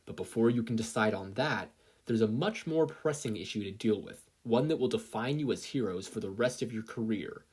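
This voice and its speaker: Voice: medium pitch, animated, moderate pace, darkish tone, slightly rough. A narrator with an American accent voicing a weary, dry, dismissive male teacher in a story reading. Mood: stern.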